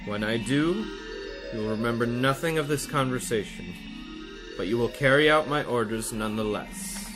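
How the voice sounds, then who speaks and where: An actor playing a vain, scheming hypnotist therapist in a radio mystery, with a deliberate, soothing yet commanding manner. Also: male voice, mid-range voice, lively intonation, over music, close to an everyday voice. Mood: commanding, sinister. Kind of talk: radio mystery drama acting.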